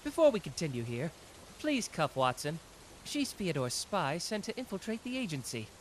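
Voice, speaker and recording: A man playing a confident, smug young male detective genius in a scripted anime audio roleplay, teasing and self-assured with dramatic pauses. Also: a little high, sing-song, a little hiss or hum.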